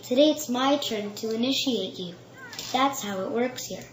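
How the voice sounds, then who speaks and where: An actor in a radio drama playing an eerily calm little boy who speaks with the knowing, deliberate manner of a grown man. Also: mid-range voice, slight background noise, natural voice. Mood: persuasive, cryptic. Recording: roomy echo under prominent music.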